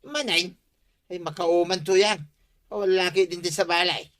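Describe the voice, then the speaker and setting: Bright, pleading Ilocano village man. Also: high-pitched, steady tone, unhurried. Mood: weary, complaining. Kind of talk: radio comedy drama acting.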